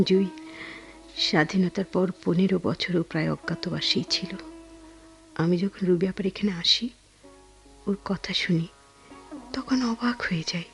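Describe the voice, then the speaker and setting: A woman with a Bangladeshi accent playing a tender, conflicted young woman in a radio drama, speaking haltingly and repeating words when moved. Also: fairly low pitch. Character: Keya, tender conflicted young woman haunted by lost love. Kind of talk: Bengali radio drama acting.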